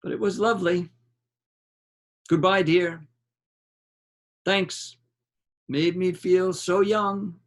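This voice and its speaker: A man reading a literary novel aloud in a measured, dramatic way, with deliberate pauses between short phrases. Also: sits high, steady tone.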